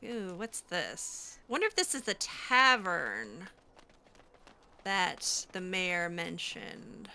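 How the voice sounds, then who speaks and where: A woman's voice: fairly low pitch, very animated, slow pace, vocal fry, sharp tone. A woman playing through a role-play video game in character, musing aloud to herself in a hesitant way. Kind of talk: in-character game playthrough commentary.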